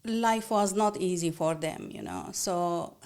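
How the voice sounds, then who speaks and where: Halting woman guest. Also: fairly low pitch, clean tone. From Iraq. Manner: halting, many fillers, soft-spoken. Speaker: podcast guest. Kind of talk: personal story in an interview.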